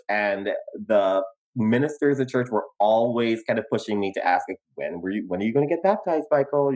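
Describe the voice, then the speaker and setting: A young adult man from Guyana with a Guyanese accent, telling his life story in casual podcast chat, animated and quick to laugh. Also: low-quality recording. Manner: animated, laughs easily, emphatic pitch jumps. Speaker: podcast co-host.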